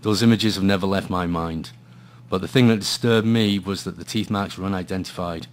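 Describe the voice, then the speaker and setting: A man's voice: low voice, a little fast, close to an everyday voice. A man with a Scottish accent playing a weary, dry private investigator in a noir radio drama, hesitant and understated. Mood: brooding, grim. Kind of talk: noir radio drama, dialogue and brooding first-person narration.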